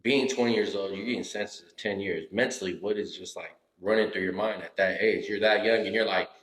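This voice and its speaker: A man's voice: on the low side, a little fast, fairly bright tone. A man co-hosting a podcast in casual chat, chiming in with agreement and questions. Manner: chimes in with agreeing asides and questions. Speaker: podcast co-host. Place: podcast studio room. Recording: roomy studio echo.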